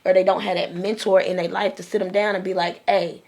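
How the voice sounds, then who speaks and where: A woman's voice: medium pitch, flat delivery, brisk, a little mellow. A woman talking casually as a podcast panelist, blunt and informal with plenty of slang. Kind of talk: casual chat.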